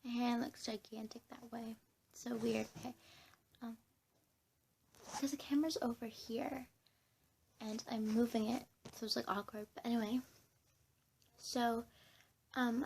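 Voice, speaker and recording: Casting: young woman vlogger, slightly high pitch with very creaky edges, giggly, informal, wandering delivery. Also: steady tone, a little slow, long pauses, a little mellow, a little hiss or hum. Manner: giggly, scattered, self-interrupting. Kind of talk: casual rambling chat to camera.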